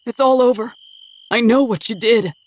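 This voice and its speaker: A man's voice: very high voice, normal speed, pitched above the performer's own voice. A woman voicing an anguished young man confronting his father, strained but firm. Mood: pained confrontation.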